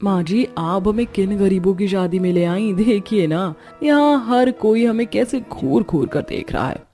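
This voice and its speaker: Spoiled, snooty young cartoon daughter-in-law, acted by a woman, mellow tone with light music. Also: mid-range voice, expressive, measured pace. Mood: disdainful.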